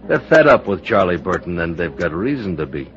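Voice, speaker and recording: Boozy, embittered producer, middle-aged, theatrical and rambling, low register, for a dull-sounding vintage radio play. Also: male voice, very animated, a little fast, a bit bright. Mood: confiding. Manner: rambling, mock-grand, chuckling. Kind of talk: old-time American radio drama acting. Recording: muffled old radio broadcast recording.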